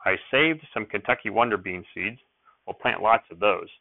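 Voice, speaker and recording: Brief: man doing a quiet, polite pioneer mother, low gravelly voice, phone-like radio audio. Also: moderate pace, no echo. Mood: gentle. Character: gentle, composed pioneer mother of five. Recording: tinny lo-fi radio recording.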